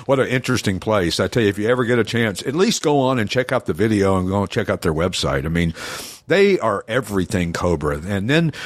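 A man hosting a casual radio podcast, with a husky voice from a cold, chatty and quick to laugh. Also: lowish voice, lively intonation, no room sound. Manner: chatty, laughs easily, rambling restarts. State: has a cold. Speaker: podcast host. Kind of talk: casual radio interview chat.

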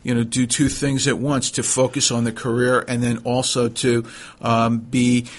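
Middle aged new york guy hosting a radio talk show, kinda halting, lots of pauses, normal pitch. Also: flat delivery. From New York, USA. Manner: halting, hesitant delivery with frequent pauses.

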